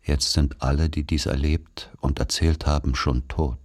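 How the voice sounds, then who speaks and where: A man narrating a literary radio play in the first person as someone recalling a rural childhood, measured and reflective. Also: extremely low pitch, fairly even intonation.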